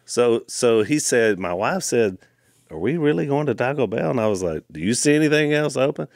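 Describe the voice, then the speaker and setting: A middle-aged man, co-hosting a podcast and telling stories in a casual, animated way at a studio mic. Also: mid-range voice, vocal fry, no echo. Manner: animated storyteller, quick asides. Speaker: podcast co-host. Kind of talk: casual storytelling chat. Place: wood-paneled studio room. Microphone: studio mic on boom arm, headphones.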